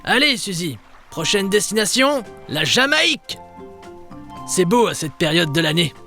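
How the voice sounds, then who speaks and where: High-voiced, hearty cartoon sea captain. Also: male voice, sing-song, measured pace, vocal fry, bright, forceful tone, soft background music, exaggerated voice. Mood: joyful. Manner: hearty, theatrical, barks nautical orders. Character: adventurous male ghost sea captain, hearty and commanding. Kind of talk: children's cartoon acting.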